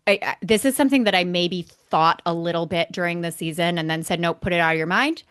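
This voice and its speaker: A woman's voice: lowish voice, fast, a bit bright, smooth, dry recording, studio quality. A woman co-hosting a casual motorsport recap show, talking in an emphatic, opinionated way. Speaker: podcast co-host.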